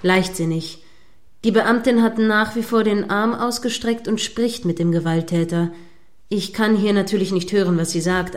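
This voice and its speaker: A woman's voice: mid-range voice, darkish tone, lightly acted. Indignant female radio reporter played by an actress. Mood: tense, disapproving. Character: indignant, moralizing female radio reporter describing a live standoff. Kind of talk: radio play live news commentary.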